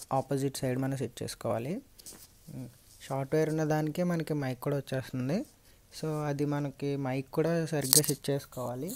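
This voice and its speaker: A man's voice: medium pitch, darkish tone, a little hiss or hum. A man with a Telugu accent giving an unscripted, step-by-step hands-on tutorial as a vlogger. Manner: explanatory, step-by-step. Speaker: vlogger reviewing and installing gear.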